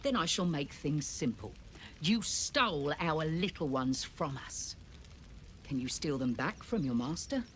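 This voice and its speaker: Stern female hunter chieftain, low voice, slow solemn commands, fantasy game, noisy ambience behind. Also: expressive, fairly bright tone. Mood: stern, accusing. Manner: formal, grave, deliberate delivery. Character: stern grieving monster-hunter leader, a woman, solemn and commanding. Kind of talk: video game dramatic acting.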